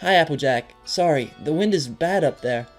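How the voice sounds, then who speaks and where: A man's voice: a little high, animated, moderate pace, soft background music, voice pushed up. A man voicing a sweet, clumsy female pegasus pony for an audio drama, bubbly, apologetic and eager.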